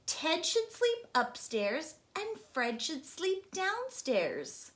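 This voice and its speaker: A woman's voice: extremely high pitch, big pitch swings, very slow, fairly bright tone, voice pushed up, heavily acted. A woman voicing a small, helpful bird in a children's storybook, in a sing-song delivery.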